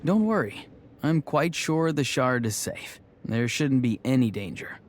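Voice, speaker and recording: Man playing a cheeky young game character, medium-pitched. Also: expressive, a little slow, slight background noise, lightly acted. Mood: encouraging. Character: young man, cheeky, easygoing grandson of a shaman. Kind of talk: video game character acting.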